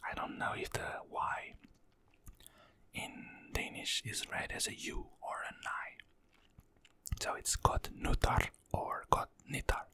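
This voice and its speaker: A person whispering ASMR-style, repeating phrases softly and apologizing for their pronunciation. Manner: soft whisper, repeats words slowly, apologetic about pronunciation. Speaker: ASMR creator.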